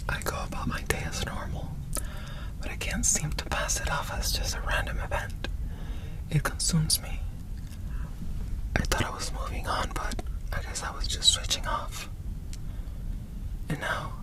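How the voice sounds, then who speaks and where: A woman doing ASMR, whispering and softly singing lyrics in a hushed, breathy, gentle way, close to the microphone. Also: deep voice, sing-song, low-quality recording. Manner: slow, gentle, hushed delivery. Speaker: ASMR performer.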